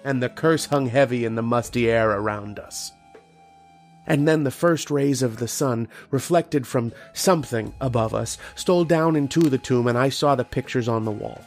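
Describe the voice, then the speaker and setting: A man playing a grave, haunted archaeologist in old-time radio horror, with dramatic, deliberate delivery. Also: mid-range voice, faint music behind, natural voice. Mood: awed, horrified.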